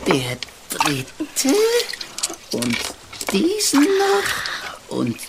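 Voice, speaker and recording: An actor playing a gentle, earnest fairy-tale hero in a children's audio drama, with an affected, drawn-out delivery. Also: medium pitch, wildly swooping pitch, heavy background noise, full character voice. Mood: enchanted, admiring.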